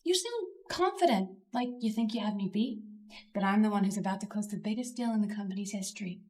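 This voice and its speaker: A woman's voice: medium pitch, lively intonation, fast, darkish tone, echoey room, lightly acted. An actress playing an ambitious young businesswoman who argues with and pleads to her father. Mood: taunting. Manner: pleading, emotional. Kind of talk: melodrama acting.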